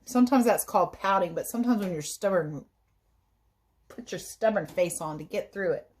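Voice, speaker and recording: A woman reading a story aloud as a teacher, pausing to explain things simply to young listeners. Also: mid-range voice, animated, darkish tone. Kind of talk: read-aloud storytelling with explanatory asides for children.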